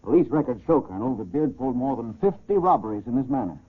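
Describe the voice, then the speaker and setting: An actor playing a police chief narrating a criminal case in scripted 1940s radio style, with a husky voice. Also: male voice, medium pitch, sing-song, fast talker. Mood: brisk, official. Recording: tinny band-limited 1940s radio recording.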